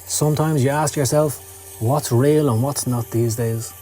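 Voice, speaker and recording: Guy doing a scottish occult hustler, jaded n sardonic, takes his time, dark comic narration w music behind. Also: medium pitch, fairly even intonation, measured pace, lightly acted. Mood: brooding. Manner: sardonic, streetwise, foul-mouthed. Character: cynical, down-at-heel male occult hustler narrating his story. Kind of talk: dramatic first-person comic narration. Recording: music under the voice.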